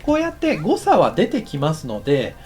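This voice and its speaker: A man's voice: on the high side, huge pitch swings, normal speed. A man giving a casual instructional lesson in a conversational manner, as a director explaining his work step by step. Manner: conversational, counts aloud while working. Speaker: animation director teaching.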